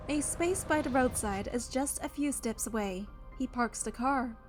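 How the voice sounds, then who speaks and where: A woman's voice: fairly high pitch, a little slow, soft background music. A woman reading a video game's story text aloud, with casual asides as she plays.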